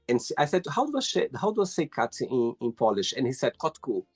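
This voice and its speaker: A man from Brazil, a native Portuguese speaker, hosting a casual interview in English with an effusive, animated manner. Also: medium pitch, fast, slightly rough, soft background music. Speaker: host. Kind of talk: casual video call interview.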